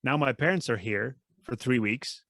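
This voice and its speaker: Gravelly man, relaxed. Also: medium pitch, moderate pace, no room sound, clean studio sound. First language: Dutch. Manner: relaxed storytelling with laughs. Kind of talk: casual chat.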